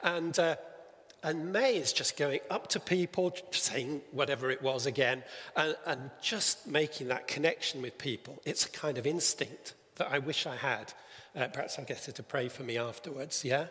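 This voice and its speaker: Halting man preaching in a reverberant hall. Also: medium pitch, a bit bright. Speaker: church leader interviewing on stage. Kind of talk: sermon-style talk and interview. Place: church hall.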